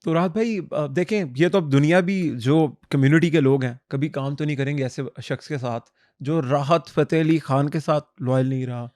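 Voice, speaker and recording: A man's voice: a little high, normal speed, a little mellow, no echo. A man co-hosting an interview, talking bluntly and emphatically. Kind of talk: casual interview talk.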